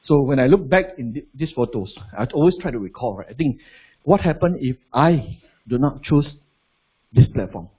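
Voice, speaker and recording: Husky Malaysian man addressing a crowd. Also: medium pitch, expressive, moderate pace, narrow, tinny audio. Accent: Malaysian English. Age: 40s. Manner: chatty, asks the crowd rhetorical questions, adds "lah". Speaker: motivational business speaker. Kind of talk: live seminar talk to an audience.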